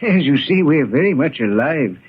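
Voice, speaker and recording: An actor playing a courtly gentleman master of a haunted house in a 1940s radio mystery, formal and reserved. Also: a little high, very animated, slightly rough, exaggerated voice. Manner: formal, measured, politely reserved. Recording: dull, lo-fi 1940s radio recording.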